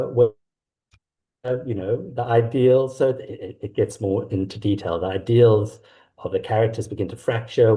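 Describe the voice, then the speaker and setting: A middle-aged South African man talking reflectively and haltingly as an author being interviewed. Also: medium pitch, dark tone, dull audio. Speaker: author guest. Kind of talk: conversational interview about own work.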